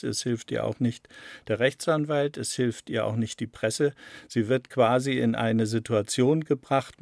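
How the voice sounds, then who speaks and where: A man explaining his work in an interview, deliberate, often repeating and rephrasing for emphasis. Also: medium pitch, creaky, a little mellow, dry recording, clean studio sound. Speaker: radio play author interviewed about his work.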